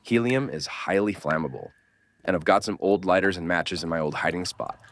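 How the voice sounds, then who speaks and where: A man playing a gruff, world-weary survivor who takes charge in an audio horror drama. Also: sits low, fairly quick, a bit bright. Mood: determined.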